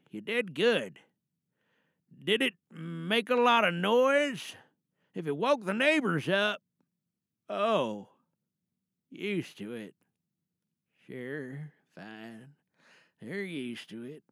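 A man playing a protective, grim older father in an audio drama monologue, speaking in a halting, deliberate and coldly calm way. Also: sits high, creaky, no echo, studio quality, exaggerated voice. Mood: anxious, reassuring. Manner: halting, hushed reassurance turning cold and deliberate. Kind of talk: dramatic audio monologue, one side of a phone call.

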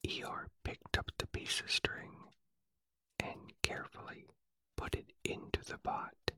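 A storyteller whispering a children's story aloud in a soft, steady ASMR reading. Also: slow pace, no room sound.

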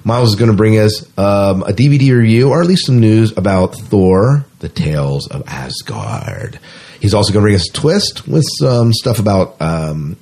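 Male podcast co-host, lowish pitch, very lively intonation, mellow, husky, chatty and jokey. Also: moderate pace. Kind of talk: casual chat, running through the show's lineup.

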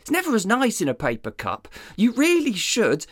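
A man doing a chirpy dim deputy, high and fluttery. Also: wildly swooping pitch, normal speed, fairly bright tone, no echo, clean studio sound, exaggerated voice. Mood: chatty. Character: dim, chatty male deputy, easily distracted.

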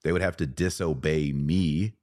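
A man with an extremely low, deep voice talks as a podcast guest. He speaks conversationally, earnestly and deliberately, often restarting as he works through his point. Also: steady tone, a little mellow.

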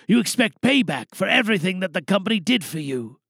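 A man playing a weary, resolute older insurance whistleblower, giving a dramatic speech to a crowd with deliberate pauses. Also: high-pitched, big pitch swings, vocal fry, a bit bright, dry recording, clean studio sound, exaggerated voice. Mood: defiant, rousing.

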